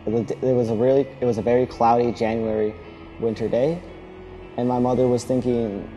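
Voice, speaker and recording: Young man, from Alabama, earnest testimony, reflective, warm mid-pitched voice. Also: flat delivery, dull audio, faint music behind. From Alabama, United States. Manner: earnest, reflective, pausing to restart phrases. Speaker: speaker telling his own story. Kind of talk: personal testimony speech.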